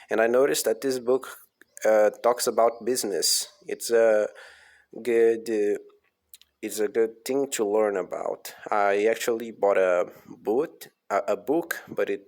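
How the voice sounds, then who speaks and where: A man's voice: lowish voice, a little mellow. Hesitant man with a Portuguese accent. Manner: hesitant, repeats words and phrases to practice them. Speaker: English learner practicing aloud. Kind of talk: reading aloud with casual commentary.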